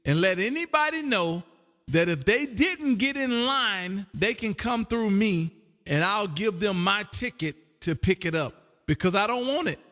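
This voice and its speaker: A man's voice: high voice, expressive, measured pace, bright, forceful tone. A man hosting a casual ham radio chat, talking in an exuberant, folksy, chatty way. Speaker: amateur radio livestream host and operator. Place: radio room at home, livestreamed. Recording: voice with long hall reverb.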